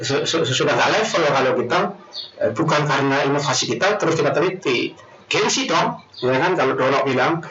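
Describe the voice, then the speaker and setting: A man's voice: a little high, fairly bright tone. Echoey, distant man lecturing with Indonesian accent. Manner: rambling, colloquial, repeats himself, frequent tag questions. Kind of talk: informal online lecture. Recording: roomy echo, distant mic, dull noisy sound.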